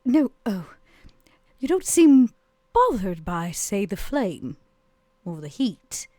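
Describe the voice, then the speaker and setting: A woman playing an aloof, haughty female vampire in a tabletop game, speaking in a deliberate, arch way. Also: medium pitch, big pitch swings, warm tone, no room sound, studio quality. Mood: aloof, probing. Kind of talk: in-character tabletop roleplay.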